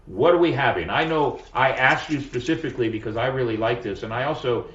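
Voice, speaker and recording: A man's voice: medium pitch, brisk, a bit bright, slight background noise. A man hosting a podcast, talking casually in a rambling, self-correcting, jokey way. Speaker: podcast host. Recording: roomy, distant, dull lo-fi sound.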